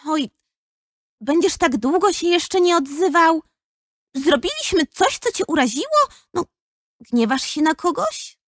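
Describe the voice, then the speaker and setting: A woman's voice: very high-pitched, very animated, measured pace, no room sound, clean studio sound, heavily acted. A female performer playing an earnest, caring, persistent schoolgirl in a children's audio drama. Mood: concerned, persuasive.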